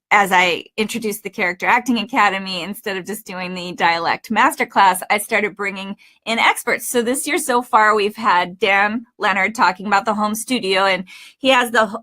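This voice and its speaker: A woman's voice: mid-range voice, pushed and bright. A woman coach giving an informal online class presentation, talking in a chatty, emphatic and enthusiastic way.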